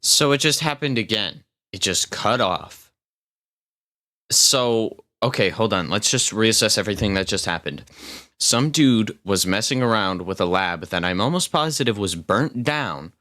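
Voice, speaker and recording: A man's voice: lowish voice, animated, a little slow, bright, forceful tone. A young man giving casual, slangy reaction commentary as a video host, with puzzled asides.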